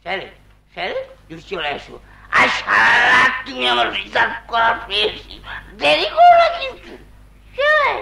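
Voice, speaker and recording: Creaky, shrill drunken old landlord. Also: male voice, big pitch swings, moderate pace, crowd noise, full character voice. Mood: besotted, petulant. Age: older. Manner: whining, exclaiming, drunken theatrical delivery. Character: drunken lovesick old landlord, wheedling and whining. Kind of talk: Bengali jatra folk theatre acting.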